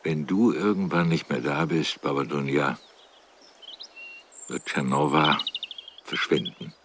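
An actor playing a sardonic, bookish, world-weary man with a gravelly voice and a wry, brooding manner in a radio drama. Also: rumbling low, takes it slowly, noisy background. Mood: musing.

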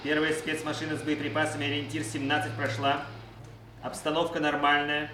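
Fast, echoey military radio operator played by a man. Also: on the high side, completely flat delivery, natural voice. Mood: dutiful. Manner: crisp formal reporting, repeats orders. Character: male military radio operator, dutiful, urgent. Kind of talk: Soviet radio play acting. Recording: noisy, echoey, distant radio-report sound.

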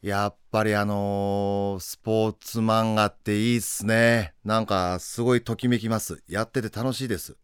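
Earnest man with a lowish voice. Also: little pitch movement, normal speed, hardly any pauses, clean tone, no room sound, clean studio sound. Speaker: voice actor giving cast comment. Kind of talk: unscripted cast comment.

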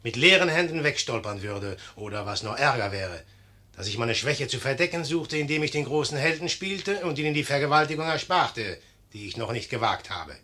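Young general, vain, blustering, condescending, intensely sharp forceful voice, 1950s radio play. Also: male voice, mid-range voice, lively intonation, fairly quick. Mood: scornful, bargaining. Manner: theatrical, swinging from condescending to blustering.

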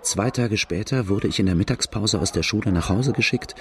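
A man's voice: fairly low pitch, brisk, a little hiss or hum, natural voice. An actor playing a rueful outlaw who recounts his hard childhood, narrating plainly in a radio drama. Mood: rueful, reflective.

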